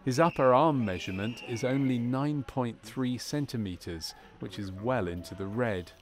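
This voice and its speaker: A man reading scripted narration for a medical training film in a measured, formal manner. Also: medium pitch.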